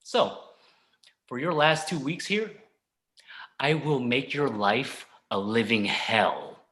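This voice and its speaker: A bitter, vindictive office boss, acted by a man, with a bright, forceful edge and wide swings in pitch. He is curt and indignant in a comedy table read, recorded in an echoing room. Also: animated, takes it slowly, slight background noise. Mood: angry, menacing.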